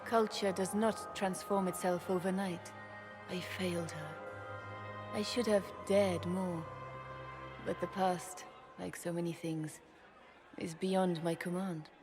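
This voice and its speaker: Stately empress over music. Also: female voice, on the low side, steady tone, takes it slowly, long pauses. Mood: regretful, restrained. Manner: formal, stately, restrained. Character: regal, regretful empress, guarded and proud. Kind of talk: fantasy game cutscene acting. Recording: clear music behind the voice.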